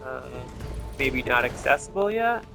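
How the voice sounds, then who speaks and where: A man's voice: medium pitch, big pitch swings, a little slow, gravelly, over music. A man giving casual gameplay commentary as host, thinking aloud and speculating as he goes. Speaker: gamer host of a gameplay video.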